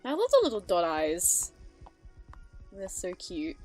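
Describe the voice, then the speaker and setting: A woman's voice: lowish voice, huge pitch swings, takes it slowly, a little mellow, soft background music. A woman giving casual live reaction commentary in an informal chatty style.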